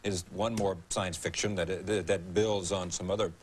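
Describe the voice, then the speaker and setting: A male TV host asking quick, probing interview questions. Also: deep voice, steady tone, slight background noise.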